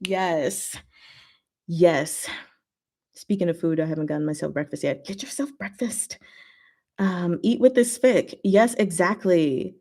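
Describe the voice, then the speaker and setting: A woman's voice: fairly low pitch, unhurried, a little mellow. A woman hosting a casual livestream, chatting with viewers in short, giggly asides. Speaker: livestream host.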